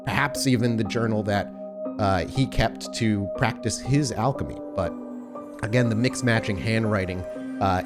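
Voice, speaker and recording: A man narrating a tabletop game as storyteller, improvising in a deliberate, explanatory way. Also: on the low side.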